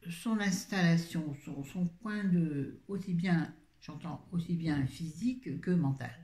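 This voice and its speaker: Chatty rambling woman, mellow and roomy. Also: fairly low pitch, takes it slowly. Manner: rambling, chatty, self-interrupting. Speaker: book vlogger. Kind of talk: casual book review chat. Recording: roomy echo.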